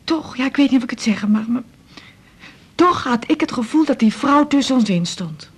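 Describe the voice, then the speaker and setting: A woman's voice: high voice, animated, moderate pace, husky. An actress playing an anxious, proud middle-aged widowed mother with a Netherlands Dutch accent in a radio drama, speaking hesitantly and plaintively. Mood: anxious, grieving. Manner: hesitant, repeats words, plaintive. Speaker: supporting actress in radio serial. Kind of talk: 1980s radio drama dialogue.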